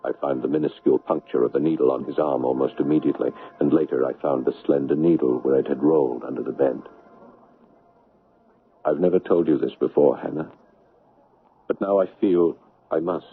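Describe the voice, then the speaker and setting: An actor playing a grief-stricken, bitter son in a 1970s radio drama, halting and anguished as he repeats himself. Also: rumbling low, fairly even intonation, slightly rough, heavily acted. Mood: somber confession. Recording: muffled, noisy 1970s radio broadcast.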